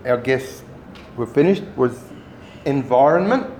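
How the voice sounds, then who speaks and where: A man's voice: medium pitch, a little slow. Man leading a workshop, echoey room. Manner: relaxed, rambling instructions with self-corrections. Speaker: workshop trainer. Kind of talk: addressing a training group. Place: meeting room. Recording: echoey room, faint noise.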